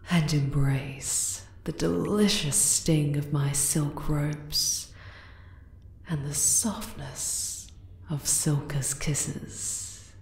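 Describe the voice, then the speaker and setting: A woman voicing a regal, adoring spider-woman queen in an intimate ASMR romance roleplay, tender and possessive, breathy and often slipping into a whisper. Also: deep voice, a little mellow, reverberant, heavily acted. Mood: sensual, possessive. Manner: slow, doting, sensual endearments.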